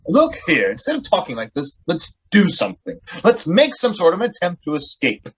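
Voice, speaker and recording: A man's voice: sits high, huge pitch swings, moderate pace, husky, heavily acted, low-quality recording. A man acting an impatient, hot-headed young sweetheart in a theatrical, melodramatic radio drama style. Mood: urgent. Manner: melodramatic, indignant.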